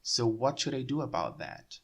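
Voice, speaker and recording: A man's voice: mid-range voice, lively intonation, moderate pace. A man hosting a casual interview about learning English, asking questions with many hesitations. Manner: friendly, hesitant, many fillers between questions. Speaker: host.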